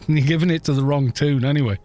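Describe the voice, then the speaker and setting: A man's voice: a little high, dark tone. Casual, rambling male game streamer. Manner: rambling, chuckling self-deprecation. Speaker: game livestreamer. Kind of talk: casual livestream chat.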